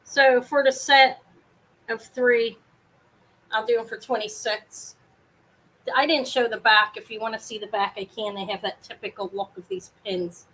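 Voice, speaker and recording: Brief: woman, live-sale host, loud carrying voice, rambly and self-interrupting, home setup. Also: fairly high pitch, steady tone, unhurried, poor audio. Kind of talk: casual live sale chat. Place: home apartment, fan running.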